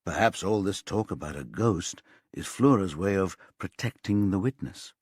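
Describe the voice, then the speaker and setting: A man narrating a thriller audiobook in a measured, suspenseful storytelling manner. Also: sits low, lively intonation, slightly rough, no room sound, studio quality.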